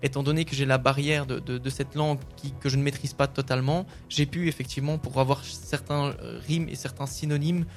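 A man's voice: medium pitch, little pitch movement, a little fast, few pauses, soft background music. A French-speaking man, a singer-songwriter, answering interview questions casually with many fillers and restarts.

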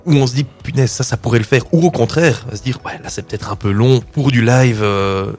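A man from a band, telling an anecdote in a casual interview, relaxed and talkative. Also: mid-range voice, fairly quick, faint music behind. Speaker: band member, musician.

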